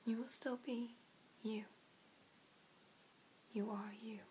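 A young woman in her twenties playing an ancient, protective vampire mentor in a whispered, soothing ASMR roleplay, with breathy, hushed delivery and long pauses. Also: fairly high pitch, fairly even intonation, slight background noise, poor audio. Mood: reassuring, protective. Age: 20s. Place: small indoor room.

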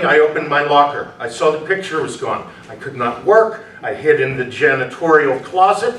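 A man's voice: a little high, a bit bright. An older man playing a troubled, pious recluse in a staged play reading, formal and declamatory in manner. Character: troubled, pious, reclusive suspect, brooding and grandiose. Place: small indoor venue, before a seated audience. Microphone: far camera. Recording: roomy distant stage recording with noise.

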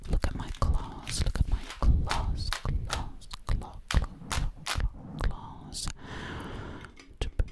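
A woman playing a sly, boastful witch in an ASMR roleplay, speaking breathily and close up, repeating words hypnotically. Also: drawn-out words. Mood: soothing, possessive. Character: sly, boastful female witch who takes people as coats. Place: small indoor room at a table. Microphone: close to the mic.

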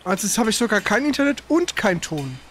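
Livestream gamer, casual chat, grumbling and exasperated, halting delivery, mid-pitched voice, slight background noise. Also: big pitch swings, fairly bright tone. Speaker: game livestream host. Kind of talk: casual livestream chat during technical trouble.

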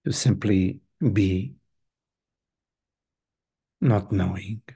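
A man leading a guided meditation as a teacher, speaking gently and hesitantly in short phrases with long pauses between them. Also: low voice, breathy edge. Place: live online group session.

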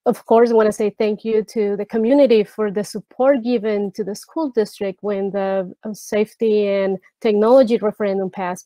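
A woman's voice: medium pitch, a little mellow, dry recording, low-quality recording. Earnest woman chairing a video meeting. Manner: earnest, halting, restarts and repeated words. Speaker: school board chair. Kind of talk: public meeting remarks over video call. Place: home room with brick wall. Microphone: earbud headset on video call.

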